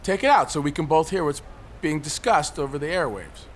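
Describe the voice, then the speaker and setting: Male actor as suicidal cynic on a ledge, slightly high voice, sarcastic, articulate, audio drama, faint noise behind. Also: expressive, fairly quick, lightly acted. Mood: probing, challenging. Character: cynical, suicidal man perched on a building ledge.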